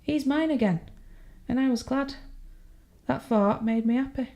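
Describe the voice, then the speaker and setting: An actress playing an unrepentant, numb woman murderer confessing from prison in an audio horror drama, speaking in a confiding, deliberate way with halting repetitions. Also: sits high, dark tone. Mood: numb, haunted. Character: jailed woman murderer, chillingly candid, numb, unrepentant mother.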